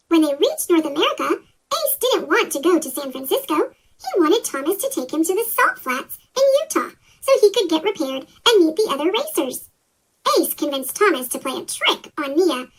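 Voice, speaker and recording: A woman's voice: very animated, normal speed, low-quality recording. Chipmunk-voiced woman reading a children's story. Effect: sped-up chipmunk pitch-shift voice effect. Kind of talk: scripted read-aloud narration of a children's story.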